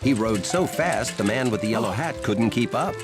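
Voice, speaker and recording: A man's voice: medium pitch, animated, normal speed, lightly acted. A man acting as a genial storyteller, giving scripted narration for a children's cartoon. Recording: loud music behind the voice.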